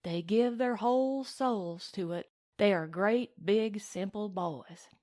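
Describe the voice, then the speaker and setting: A woman's voice: mid-range voice, slow, a little mellow, lightly acted. A young ranch woman voiced by a woman reader speaks in an audiobook Western. She is modest and earnest, with a simple, sincere way of putting things. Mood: fond, earnest.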